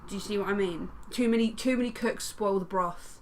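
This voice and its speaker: A woman's voice: mid-range voice, fairly quick. A woman in casual podcast chat, speaking in an emphatic, opinionated and sweary way. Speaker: podcast panelist.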